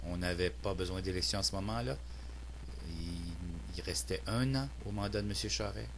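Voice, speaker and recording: A man's voice: low-pitched, normal speed, vocal fry, a bit bright, a little hiss or hum. A man with a Québécois French accent delivering a prepared political opinion monologue in a formal, earnest, declamatory manner. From Quebec, Canada. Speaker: citizen political commentator.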